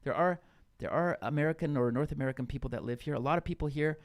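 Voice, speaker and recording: A man's voice: a little high, quick pace. A man co-hosting a casual radio podcast, talking loosely with lots of fillers and restarts. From Brazil. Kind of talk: casual chat.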